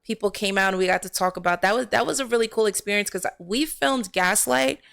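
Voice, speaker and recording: A woman's voice: medium pitch, fairly quick, creaky, a bit bright. An American woman speaking casually and expressively as an actress answering interview questions, often posing questions to herself as she explains. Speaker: film actress being interviewed.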